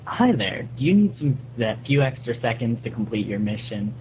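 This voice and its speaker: Young male podcast co-host, average-pitched voice, casual banter, dull recording with faint noise. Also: big pitch swings, moderate pace.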